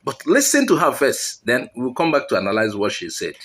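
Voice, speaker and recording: Lively man addressing viewers. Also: mid-range voice, brisk, breathy edge. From Nigeria. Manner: earnest, appealing directly to viewers. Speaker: channel host. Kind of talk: commentary to viewers.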